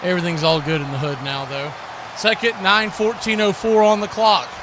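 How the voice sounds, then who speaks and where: A man doing excited live play-by-play commentary of a football game. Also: sits high, moderate pace, background noise.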